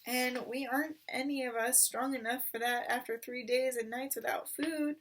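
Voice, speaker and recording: A thoughtful storybook father fox, as a woman reader puts him on. He is weary but caring and chooses words carefully. The voice is fairly high and somewhat far from the mic. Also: steady tone. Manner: careful, measured.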